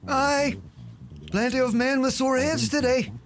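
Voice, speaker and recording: A man's voice: extremely high pitch, steady tone, unhurried, slight background noise. An actor playing a wry, gloomy older countryman with a Donegal Irish accent in a radio play. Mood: grumbling. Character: older rural Irish man, wry, gloomy, folksy sage.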